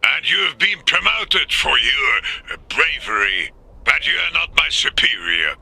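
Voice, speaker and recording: An actor playing a cautious, firm army commander in a war game, gravelly, husky and clipped. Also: male voice, mid-range voice, very animated, measured pace, sharp tone, heavily acted. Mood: firm, measured. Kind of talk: dramatic military game acting.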